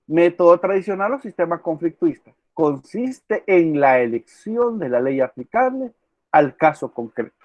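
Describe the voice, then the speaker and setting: A man's voice: a little high, expressive, moderate pace, no room sound. Male law lecturer, repeats key terms, muffled lo-fi recording. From El Salvador. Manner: quizzes students by name, repeats key words. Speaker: law professor. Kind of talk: online class lecture.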